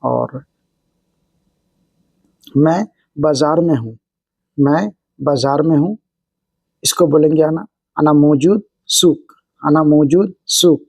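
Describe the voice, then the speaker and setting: A man giving a casual spoken language lesson, repeating each example phrase slowly and explaining it word by word. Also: fairly high pitch, gravelly, a little mellow, no echo. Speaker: Arabic language teacher. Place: Saudi Arabia.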